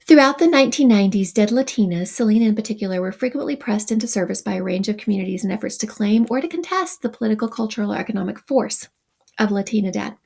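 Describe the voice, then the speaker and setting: Woman teacher, middle pitch, reads passages aloud with a gravelly edge, then breaks off for dry asides to the class. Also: fast talker, a little mellow. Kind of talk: reading a text aloud and commenting for students.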